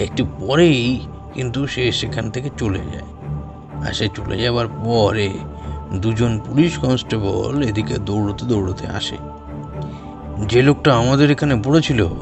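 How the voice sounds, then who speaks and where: A man playing a humble, nervous umbrella repairman in an audio drama, speaking deferentially and haltingly. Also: mid-range voice. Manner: hesitant, deferential, halting with drawn-out pauses. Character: humble, nervous street umbrella repairman recounting what he saw. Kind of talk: audio drama character acting. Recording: music behind the voice.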